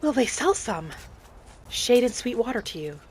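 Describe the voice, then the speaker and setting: A woman playing a gentle, matter-of-fact wood elf wanderer, musing aloud in measured, short phrases as in-character game roleplay. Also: on the high side, very animated, a little hiss or hum. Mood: friendly, calm. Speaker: roleplay gamer narrating in character. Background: background music.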